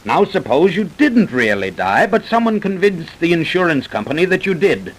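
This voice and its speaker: An actor playing a greedy, self-assured doctor who persuades briskly and smoothly, in old-time radio drama style. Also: male voice, a little high, big pitch swings, low-quality recording. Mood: scheming, confident. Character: scheming, smooth-talking, greedy doctor plotting fraud. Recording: vintage radio broadcast recording.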